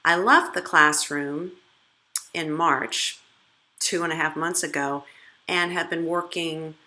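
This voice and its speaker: A retired woman teacher in her later years speaks earnestly to her viewers, trying to persuade them. Her voice sits a little low but sounds bright and clear, and she often restarts her sentences and fills pauses as she thinks. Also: steady tone. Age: older. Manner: sincere, persuasive, with frequent restarts and fillers. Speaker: retired language teacher and video creator. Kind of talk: earnest reflective monologue.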